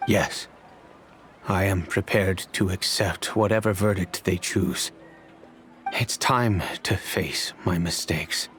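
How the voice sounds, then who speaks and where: Game father: middle-aged man, lowish voice, earnest, measured, formal, clear music underneath. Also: fairly bright tone. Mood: resigned. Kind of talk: video game voice acting.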